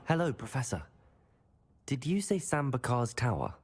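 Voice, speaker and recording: A man's voice: mid-range voice, sing-song, normal speed, breathy edge. An actor playing a polite, brave teenage boy wizard student in a video game, speaking earnestly. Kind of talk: scripted video game acting.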